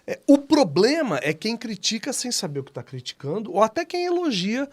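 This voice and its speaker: Congested Brazilian host with a cold. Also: male voice, high voice, very animated, moderate pace. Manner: chatty, opinionated, jokey asides. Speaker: podcast host. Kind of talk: casual talk show chat.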